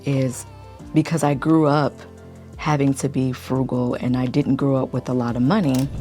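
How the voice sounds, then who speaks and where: A woman vlogger chatting casually in a deep morning voice, keeping it low and quiet. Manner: speaking low to stay quiet. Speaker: lifestyle YouTube vlogger. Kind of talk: casual chit-chat vlog.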